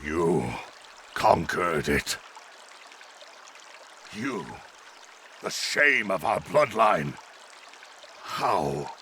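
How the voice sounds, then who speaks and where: A man voicing a proud, power-mad warrior villain in a video game, gravelly and menacing, with theatrical, imperious delivery over dramatic music. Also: sits low, very animated, drawn-out words, long pauses, pushed and bright, slight background noise, exaggerated voice. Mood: scornful disbelief.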